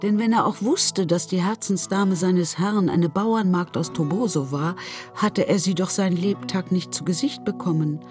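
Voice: medium pitch, normal speed, darkish tone, soft background music. A narrator reading scripted literary storytelling for a radio play.

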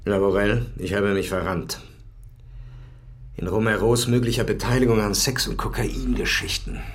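A man's voice: on the low side, steady tone, moderate pace, faint music behind, natural voice. An actor playing a commanding police commissioner in a radio drama, terse and controlled as he applies pressure. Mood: pressing, controlled.

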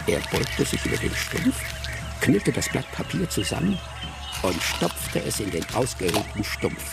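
An actor playing an observant old village man who narrates his own tale in a German radio drama, with a gravelly voice. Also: fairly low pitch, big pitch swings, measured pace, heavily acted. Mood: reflective. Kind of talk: scripted first-person storytelling in radio drama. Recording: loud music behind the voice. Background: loud music.

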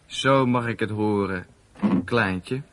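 A man's voice: fairly low pitch, takes it slowly. A man playing a bossy, sly young street thug in a radio drama, coaxing and commanding. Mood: smug. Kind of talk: Dutch radio drama acting.

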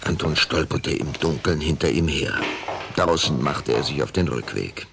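A man's voice: extremely low pitch, moderate pace, vocal fry, background noise. A man narrating a scripted children's audio drama as its storyteller.

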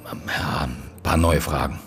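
A deep-voiced male detective in a radio play, brooding and terse. He probes with short questions and halting phrases, sounding weary, with faint music behind him. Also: steady tone. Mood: probing, dry. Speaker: actor in a radio drama. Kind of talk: naturalistic German radio drama dialogue.

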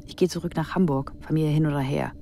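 An actress playing an eager, impressionable young woman, an engineer's daughter, in a German radio drama, slipping into hushed, impulsive asides. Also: low voice, steady tone, fast, vocal fry, a little hiss or hum. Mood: wistful. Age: young adult. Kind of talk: German radio drama acting with inner monologue. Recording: studio radio drama with music underneath.

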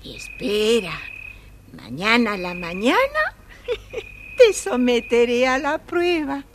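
A woman's voice: fairly high pitch, huge pitch swings, heavily acted. An actress playing a sly, mocking little old woman guarding a castle in a children's audio story. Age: older. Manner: slow, mocking, unhurried. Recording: old record with faint background noise.

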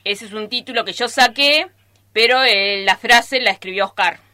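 A woman's voice: a little high, moderate pace, pushed and bright. A woman with an Argentine accent chatting casually in an interview as an amateur radio theatre performer. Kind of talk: casual group interview chat. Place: radio studio.

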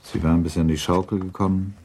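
Male narrator, extremely low voice, dark mellow tone, literary storytelling, restrained, measured. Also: level tone. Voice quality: husky, mellow. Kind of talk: literary scripted narration for radio drama.

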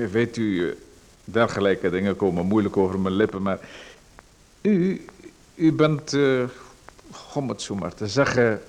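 An actor voices a sinister visitor in a radio play who knows far too much about the man he is calling on. His voice sits somewhat low, and he speaks calmly and with insinuation. He turns polite questions aside and never answers them straight. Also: a little slow, long pauses. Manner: calm, insinuating, politely evasive. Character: sinister, overly familiar male visitor who watches a playwright.

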